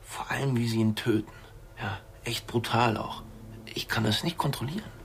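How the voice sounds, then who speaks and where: An actor playing a gentle, troubled man who muses in everyday words, in a naturalistic radio drama. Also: medium pitch, moderate pace, vocal fry, slight background noise, lightly acted. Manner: musing, troubled, colloquial. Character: gentle, brooding man haunted by violent imaginings.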